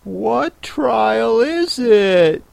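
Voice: medium pitch, drawn-out words, vocal fry, dry recording, voice pushed up. An audiobook reader voicing Alice, a polite, timid young girl who speaks hesitantly. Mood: breathless. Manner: hesitant and trembling.

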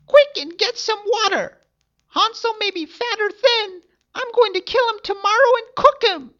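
A man voicing a cruel old witch in a shrill falsetto, barking impatient orders in a storybook reading. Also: normal speed, sharp tone. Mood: harsh. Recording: dull lo-fi recording.

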